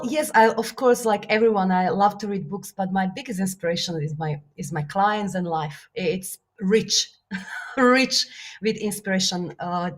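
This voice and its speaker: A woman from Slovakia with a Slovak accent, talking in an interview as a guest about business and books. She speaks earnestly and reflectively, with many fillers and soft laughs. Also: mid-range voice. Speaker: guest, business author and coach. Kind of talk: casual interview talk.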